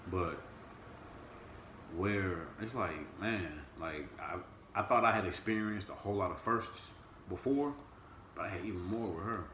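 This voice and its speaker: This is a man in his thirties with a very low voice, co-hosting a relaxed podcast with his partner. He talks in a loose, animated way and jumps high in pitch when he teases. The room is echoey, with a faint hum behind him. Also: measured pace, pauses often. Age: 30s. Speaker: podcast co-host. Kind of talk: casual couple chat.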